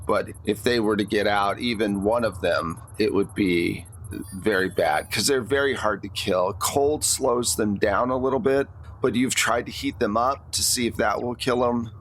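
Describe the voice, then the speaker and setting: A man speaking as game master, narrating a tabletop roleplaying game in a conversational way. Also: lowish voice. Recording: clear steady background noise.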